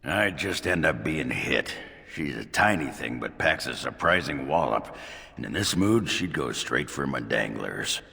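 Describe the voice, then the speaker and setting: Gravelly, boozy dwarf warrior. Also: male voice, very deep voice, expressive, a little slow, pushed and bright, big-room sound, exaggerated voice. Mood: resigned, gruff. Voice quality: gravelly, growling. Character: boozy, crude, gruff middle-aged dwarf warrior. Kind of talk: video game voice acting.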